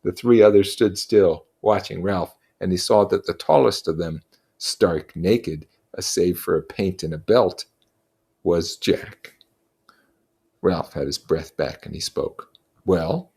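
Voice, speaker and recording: A man with a lowish voice reads fiction aloud. He narrates as a teacher would, stepping out of the text to offer explanatory comments. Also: slow, creaky, a little mellow, breathy edge. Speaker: reader and teacher.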